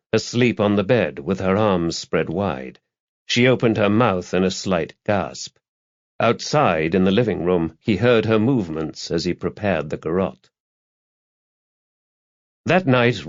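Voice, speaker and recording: Deep-voiced man narrating a thriller audiobook. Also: lively intonation, a little slow, no room sound. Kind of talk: scripted narration of a crime thriller novel. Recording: dull, lo-fi, dry audiobook recording.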